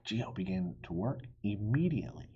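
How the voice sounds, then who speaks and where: A man reading a storybook aloud for children in an expressive teacherly way. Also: fairly low pitch, breathy edge, muffled recording. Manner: animated, pauses to quiz listeners.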